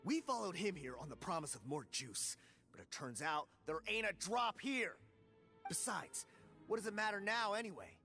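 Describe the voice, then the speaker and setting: A man's voice: high-pitched, animated, moderate pace, sharp tone, faint music behind, heavily acted. A man playing an indignant, argumentative, hot-tempered convict in a video game. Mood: exasperated. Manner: argumentative, exasperated. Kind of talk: video game dialogue acting.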